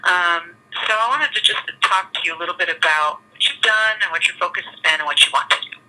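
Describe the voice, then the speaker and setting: Job interviewer on the phone, super bright tinny sound, loose hesitant explaining. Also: mid-range voice, quick pace. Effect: telephone. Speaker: job interviewer at a tech startup. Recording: tinny, harsh telephone line.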